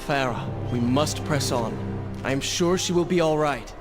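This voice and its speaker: An actor playing a proud, vengeance-driven young prince who speaks intensely and with command. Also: male voice, medium pitch, moderate pace, faint music behind, close to an everyday voice. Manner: intense, commanding, turns to strained shouting.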